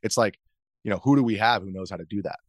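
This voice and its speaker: An American man speaking as an interview guest, explaining things in a measured, conversational way. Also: lowish voice, dry recording, clean studio sound. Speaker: interview guest, video journalist.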